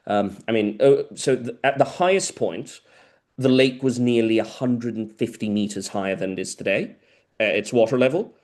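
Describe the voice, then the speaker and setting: A man's voice: medium pitch, moderate pace, darkish tone. A man from South America, a native Spanish speaker, answering audience questions at a seminar in an informal, detailed way. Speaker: guest lecturer.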